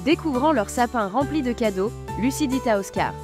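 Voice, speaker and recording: Casting: woman narrator, gentle storytelling voice, slightly high, clear music bed behind. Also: expressive, moderate pace.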